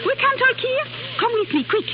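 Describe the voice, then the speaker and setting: High-voiced passionate young French chanteuse. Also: female voice, animated, fairly quick, loud music behind the voice. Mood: agitated, urgent. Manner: theatrical, foreign-tinged phrasing. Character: passionate, dramatic young French nightclub singer in love. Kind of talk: 1930s radio acting. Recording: muffled, noisy 1930s radio broadcast with hum.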